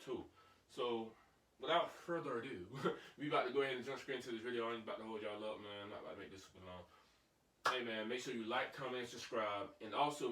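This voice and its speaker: A man hosting a reaction video, talking to viewers in casual, animated slang. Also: mid-range voice, far from the mic. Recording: roomy, away from the mic.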